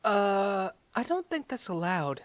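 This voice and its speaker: A woman's voice: medium pitch, big pitch swings, slow, heavy background noise. A woman voicing a timid, giggly male cheetah judge who can't keep a straight face. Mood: sheepish.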